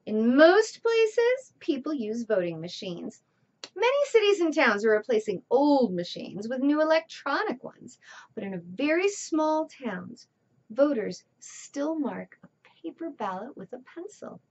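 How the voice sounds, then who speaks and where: A woman reading a children's storybook aloud with deliberate, theatrical emphasis. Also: high voice, sing-song, a little mellow, muffled recording. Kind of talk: expressive read-aloud narration.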